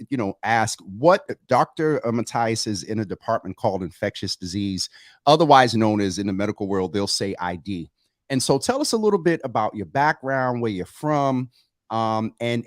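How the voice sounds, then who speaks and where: A man's voice: mid-range voice, dry recording. Guy hosting a morning talk show, voice jumps around a lot, dry sound. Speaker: host.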